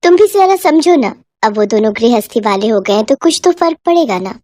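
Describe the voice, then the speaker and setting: A young college girl, put on by a woman storyteller, dutiful but feisty. Her voice is very high and firm, recorded dry. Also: lively intonation, a little fast, mellow, dry recording, natural voice. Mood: soothing.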